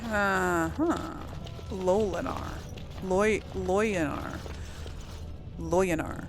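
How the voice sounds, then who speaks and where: Slow-paced woman doing casual game commentary. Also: fairly low pitch. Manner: easygoing, talking to herself and the audience. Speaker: gaming host playing and commenting. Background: game music.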